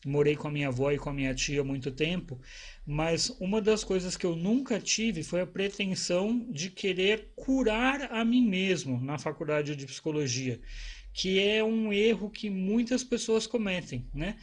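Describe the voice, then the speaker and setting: A man's voice: sits high, expressive, moderate pace. Chatty São man in his forties. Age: 40s. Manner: conversational, rambling with restarts and tag questions. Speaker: psychologist. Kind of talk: casual personal talk to camera.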